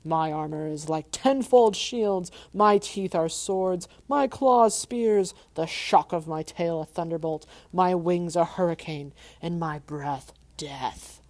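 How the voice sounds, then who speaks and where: A woman voicing a huge, wily old male dragon who gloats and mocks in a booming voice. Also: slow pace, few pauses, darkish tone. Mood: gloating.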